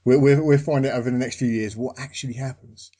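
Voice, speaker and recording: A man from the United Kingdom hosting a casual interview, chatty and halting, with lots of fillers. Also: mid-range voice, steady tone, warm tone. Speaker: interview host.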